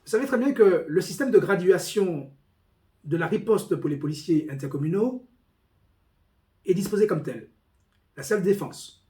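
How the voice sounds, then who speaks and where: A man speaking as a police union representative, giving a formal, deliberate, emphatic report on a meeting. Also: high voice, lively intonation, warm tone. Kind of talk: spoken meeting report with quoted documents.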